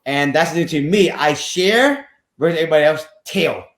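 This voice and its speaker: A man's voice: on the high side, expressive, normal speed, a bit bright, roomy sound. A man in his fifties from Vietnam, telling his life story as a podcast guest in an earnest, repetitive way with some nonstandard grammar. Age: 50s. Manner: earnest, repeats phrases, slightly nonstandard English grammar. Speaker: podcast guest, real estate investor. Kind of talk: casual interview storytelling.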